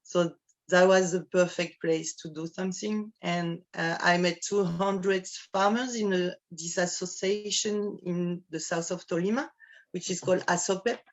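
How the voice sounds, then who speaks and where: A woman from France with a French accent, speaking English as a guest on an interview and often pausing to search for words. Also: on the low side, fairly even intonation, muffled recording. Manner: halting, searching for words with many restarts. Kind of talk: casual interview.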